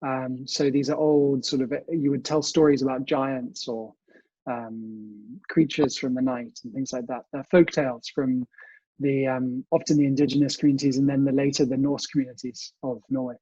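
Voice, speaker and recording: A man, a guest reader who chats casually and reads storybooks aloud in a measured, expressive way over a video call. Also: mid-range voice, slightly rough. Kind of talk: storybook reading and casual chat. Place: indoors, remote online session. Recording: muffled, echoey video call audio.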